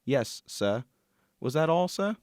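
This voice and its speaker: Man acting an eager, high-pitched servant boy. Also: sing-song, slow pace, a little mellow, no echo, studio quality. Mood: deferential. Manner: deferential, colloquial, eager. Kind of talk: radio drama acting.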